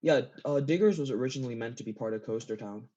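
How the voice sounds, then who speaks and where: A man in a casual gaming chat, speaking haltingly as a guest, repeating phrases and often giggly. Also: medium pitch, warm tone. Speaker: interview guest, game map builder. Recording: dull lo-fi voice chat.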